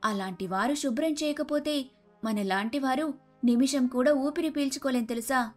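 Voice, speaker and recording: A woman playing an outspoken, principled young daughter-in-law in a Telugu animated moral story, speaking in an earnest, preachy way. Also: high-pitched, normal speed. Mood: reproachful. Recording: music under the voice.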